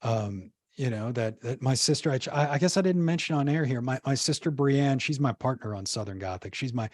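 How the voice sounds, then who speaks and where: A man's voice: mid-range voice, a little fast, a little mellow, no echo, studio quality. A man, a podcast guest, telling history in a casual, rambling way full of fillers and restarts. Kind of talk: casual conversational storytelling.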